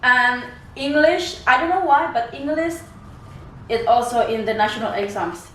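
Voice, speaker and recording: A woman's voice: fairly high pitch, normal speed. A young woman from West Java with an Indonesian accent, co-hosting a casual podcast chat and talking in loose, wandering sentences with frequent restarts. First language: Sundanese. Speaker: podcast co-host. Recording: echoey room, mic at a distance, faint noise.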